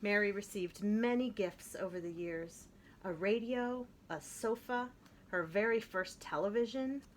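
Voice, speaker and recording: A woman reading a children's picture book aloud in an expressive way, slowing down to stress key phrases. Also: mid-range voice, slight background noise. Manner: expressive, with slowed emphatic phrases. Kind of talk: storybook read-aloud.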